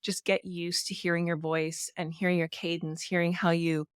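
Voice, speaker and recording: A woman with a naturally slightly low voice, co-hosting a casual book-discussion podcast and talking thoughtfully with many fillers. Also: level tone, moderate pace, clean tone, no echo, studio quality. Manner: thoughtful, with frequent "you know" and "um". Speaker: podcast co-host.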